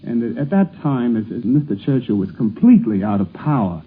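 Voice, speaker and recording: A man's voice: medium pitch, sing-song, fairly quick, husky. Man telling anecdotes, tinny old radio. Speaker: interviewee recalling family life. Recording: tinny, faintly noisy 1950s radio recording.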